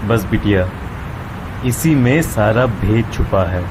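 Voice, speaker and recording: A man playing a wise, benevolent older temple priest in an animated moral story, fatherly and moralising. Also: on the low side, slow. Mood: wise, consoling. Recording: clear background noise, outdoor ambience.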